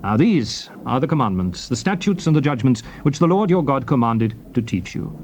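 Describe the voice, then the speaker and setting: A man giving a solemn, dramatized reading of King James scripture, declaiming it in deliberate phrases. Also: medium pitch, sing-song, darkish tone. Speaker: scripture reader. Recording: noisy old cassette tape recording.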